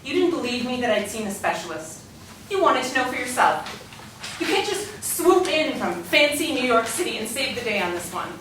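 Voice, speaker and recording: An actress playing a bitter, sharp-tongued, accusing mother in a dramatic script reading. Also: fairly high pitch, very animated, moderate pace. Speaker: actor in a staged script reading. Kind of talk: dramatic screenplay table read. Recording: echoey, distant, noisy room recording.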